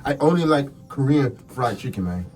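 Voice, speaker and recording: A man's voice: medium pitch, lively intonation, normal speed, darkish tone, close to an everyday voice. A man playing a cocky, evasive, laid-back murder suspect in an ASMR roleplay, slangy and flippant. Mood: defensive. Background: music.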